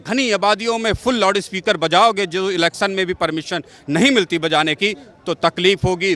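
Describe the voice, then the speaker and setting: High-pitched, sharp-voiced emphatic man. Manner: emphatic, repetitive, listing examples. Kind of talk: impassioned argumentative monologue.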